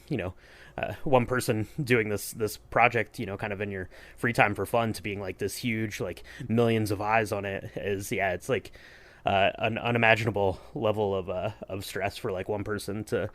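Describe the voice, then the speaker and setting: A man co-hosting a podcast in casual chat, speaking haltingly and often repeating words and phrases as he goes. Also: on the low side, fairly bright tone. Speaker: podcast co-host.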